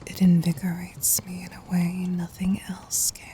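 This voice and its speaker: A woman playing a tender, possessive demon queen in an intimate ASMR roleplay, speaking in a soft breathy whisper with regal, formal endearments. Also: on the low side, completely flat delivery, measured pace, slight background noise, natural voice. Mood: tender, seductive.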